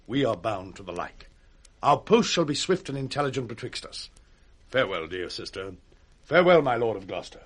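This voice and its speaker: A man's voice: mid-range voice, very animated, fairly quick, a bit bright. A British man playing a cruel, vengeful duke in 1960s Shakespearean radio drama, giving imperious orders. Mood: cold, vengeful.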